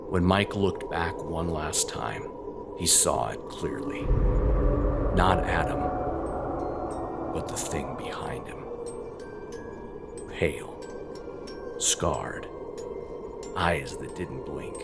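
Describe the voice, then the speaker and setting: Male horror narrator. Gravelly, unhurried, suspenseful, with prominent music underneath. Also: deep voice, lively intonation. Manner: slow, ominous, suspenseful, dropping into breathy near-whispers.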